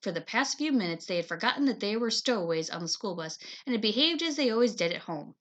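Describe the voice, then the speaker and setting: A woman teacher reading a chapter book aloud to children in an expressive, dramatizing manner. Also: medium pitch, fast. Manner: animated, dramatizes dialogue.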